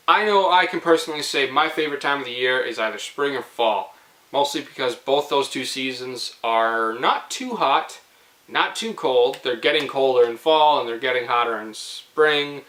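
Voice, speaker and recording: A man's voice: medium pitch, normal speed, bright, forceful tone, reverberant. A young man from Canada hosting a casual storytelling video, talking in a playful, rambling, informal way. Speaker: host of a storytelling video series. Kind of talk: casual comic storytelling to viewers.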